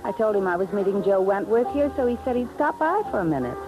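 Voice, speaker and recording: An actress playing a coy, seductive femme fatale in a 1950s radio drama, languid and teasing. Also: female voice, mid-range voice, animated, quick pace, natural voice, low-quality recording. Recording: vintage 1950s radio recording, music behind.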